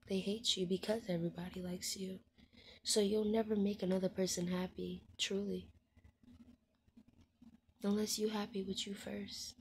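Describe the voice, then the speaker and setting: A woman in her twenties speaking candidly to her viewers, frank and heartfelt, often dropping to a soft hushed voice and swearing bluntly. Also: medium pitch, slight background noise. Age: 20s. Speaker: vlogger. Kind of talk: candid personal monologue to viewers.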